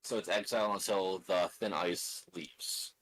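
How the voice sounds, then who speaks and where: A man plays a tabletop card game and talks through each step in a casual way. His voice is on the low side and he takes his time, often muttering or counting aloud as he thinks out his turn. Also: steady tone, unhurried, fairly bright tone, breathy edge, poor audio. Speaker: card game player and streamer.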